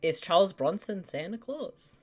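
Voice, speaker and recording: A woman's voice: fairly low pitch, animated, normal speed, husky. A woman co-hosting a casual movie riff, wry and sarcastic, laughing often. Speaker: movie commentary co-host. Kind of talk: casual riffing commentary.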